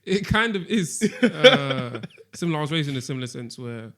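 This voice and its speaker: A man's voice: a little high, sing-song, moderate pace, bright, forceful tone. A young man hosting a podcast, speaking in a laid-back way with short replies and simple questions. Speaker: podcast host. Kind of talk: casual podcast chat. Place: podcast studio.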